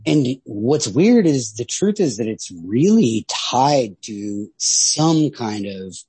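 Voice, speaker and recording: A man's voice: mid-range voice, big pitch swings, slow pace, dark tone, breathy edge, no room sound. A man co-hosting a podcast, discussing literature casually and thinking aloud in a tentative, hedging way. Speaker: podcast co-host.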